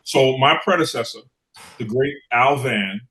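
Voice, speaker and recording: A middle-aged man from New York City talks as an interview guest in a medium-pitched voice. He tells his story in a conversational, emphatic way, repeating phrases to drive points home. The recording has a clear room echo. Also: a little slow, a bit bright. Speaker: guest, former city council member. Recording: echoey room sound.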